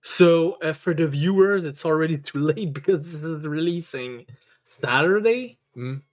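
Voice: medium pitch, measured pace. A podcast host chatting casually and enthusiastically, stammering and repeating words, with emphatic swearing. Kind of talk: casual chat, enthusiastic review.

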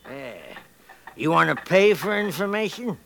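An actor playing a toothless, stubbornly hopeful old prospector in a 1950s radio western, gravelly and rambling in a folksy American frontier drawl. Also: male voice, high voice, expressive, a little slow, a bit bright, full character voice. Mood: grumbling. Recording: noisy 1950s radio recording.

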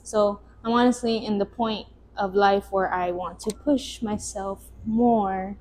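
A woman's voice: mid-range voice, fairly even intonation, slow, darkish tone, a little hiss or hum. A woman of about twenty chatting casually as a podcast co-host. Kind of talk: casual chat.

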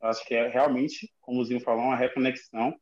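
A man with a Bahian Brazilian accent speaks casually as a guest. His pitch is average. The sound is dull and lo-fi. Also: steady tone, fast talker. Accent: Bahian Brazilian Portuguese. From Lauro de Freitas, Bahia, Brazil. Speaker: guest, dancer and company director. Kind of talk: casual spoken introduction in an online conversation. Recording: dull lo-fi online audio.

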